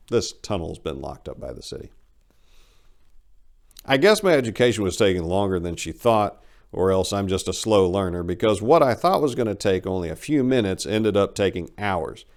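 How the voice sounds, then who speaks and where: A man's voice: sits low, darkish tone. A man narrating a first-person comic short story as a dramatic reading.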